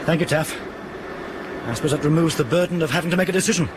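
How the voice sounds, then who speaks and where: A man's voice: on the high side, quick pace, lightly acted. A man acting a commanding airline captain in a radio drama, with a clipped, authoritative manner. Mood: terse, decisive. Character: commanding, brisk male airline captain under pressure. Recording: noisy aircraft cockpit ambience.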